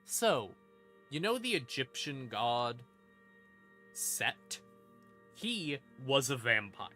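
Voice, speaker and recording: A man's voice: mid-range voice, sing-song, slow, pushed and bright. A man streaming a casual explanation of game lore, informal, with dry asides and dramatic emphasis. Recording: music behind the voice. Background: creepy ambient music.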